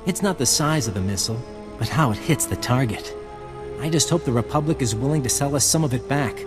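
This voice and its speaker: An actor playing a flirtatious resistance fighter in a video game, easygoing, confident and wry. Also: male voice, mid-range voice, expressive, brisk, over music, close to an everyday voice. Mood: admiring, amused. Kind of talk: video game dialogue acting.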